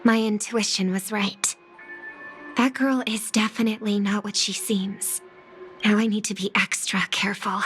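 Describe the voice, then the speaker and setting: A woman voicing an earnest, watchful and worried young singer in a video game, soft and hesitant in manner. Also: mid-range voice, steady tone, moderate pace, soft background music, natural voice. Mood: wary. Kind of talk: scripted game dialogue, inner monologue.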